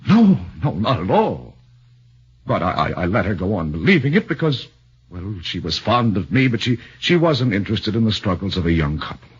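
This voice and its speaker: A man's voice: lowish voice, big pitch swings, moderate pace, breathy edge, muffled recording, full character voice. An actor in his thirties in a 1940s radio drama plays a young man accused of murder, earnest and flustered, often stammering. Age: 30s.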